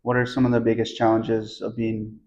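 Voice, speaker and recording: Slightly muffled, even-toned male interviewer. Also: on the low side, normal speed. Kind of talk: plain interview questions.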